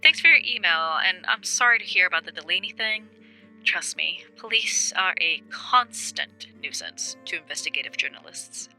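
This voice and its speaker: A woman playing a sharp, helpful investigative journalist in an audio drama, conversational and matter-of-fact. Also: on the low side, animated, normal speed, faint music behind, heavily acted, rough recording.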